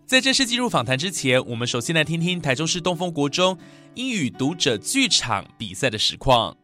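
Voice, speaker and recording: A man's voice: on the high side, sing-song, brisk, pushed and bright, soft background music. A man with a Taiwanese Mandarin accent hosting a radio interview. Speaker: radio host.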